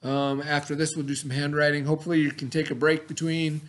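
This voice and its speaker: A man speaking as a teacher to children, expressive and encouraging, with dramatic emphasis and pauses. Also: a little high. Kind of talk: expressive read-aloud for children.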